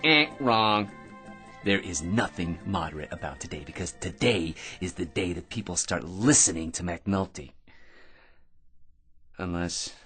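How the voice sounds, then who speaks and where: An actor playing an excitable, self-important eccentric in a radio drama, rambling and stammering through grand schemes with theatrical delivery. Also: male voice, low voice, measured pace, soft background music, exaggerated voice. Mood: grumbling, resolute.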